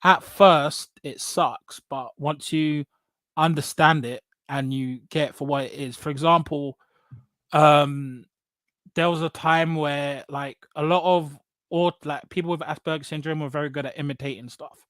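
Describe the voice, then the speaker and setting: An English man with a fairly high voice talks casually about his own experiences. He is blunt and emphatic, doubles back on phrases and tags points with "right?" The sound is dry and close. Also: fairly even intonation, measured pace, fairly bright tone, no room sound. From England. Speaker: podcast guest. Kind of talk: casual personal storytelling in conversation.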